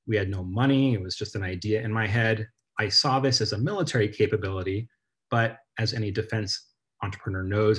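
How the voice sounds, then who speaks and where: A man giving a measured, earnest talk about his own story on a video call, as the guest author. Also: fairly low pitch, a bit bright.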